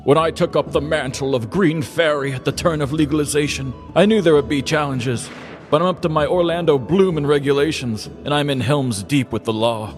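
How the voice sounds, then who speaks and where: Casting: man to play kindly old wizard, higher-pitched, grand and earnest, fantasy parody audio, light music underneath. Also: close to an everyday voice. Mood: weary. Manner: grand, drawn-out storybook phrasing. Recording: music playing behind.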